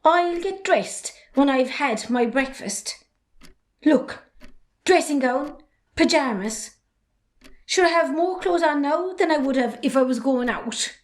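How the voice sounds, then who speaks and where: Woman acting a high-voiced, cheeky young Irish daughter. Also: lively intonation, slow pace. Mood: defiant, sardonic. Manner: sardonic, outspoken. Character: defiant, cheeky young adult farmer's daughter. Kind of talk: amateur drama dialogue.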